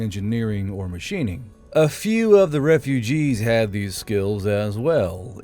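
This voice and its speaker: A man's voice: medium pitch, a little mellow. A man reading scripted fantasy fiction as an audiobook narrator. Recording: music behind the voice. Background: background music.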